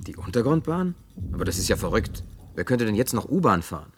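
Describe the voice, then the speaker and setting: A man's voice: on the low side, sing-song, a little fast, husky. A man dubbing a wary, down-to-earth man who answers in a skeptical, curt way. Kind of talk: German film dub.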